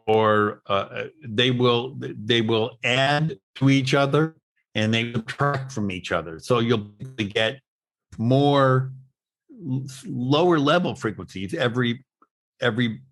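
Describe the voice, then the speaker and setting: A man giving a technical webinar explanation as an instructor, in a halting, stop-start way with repeated words. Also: medium pitch, low-quality recording. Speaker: instructor presenting at an online summit.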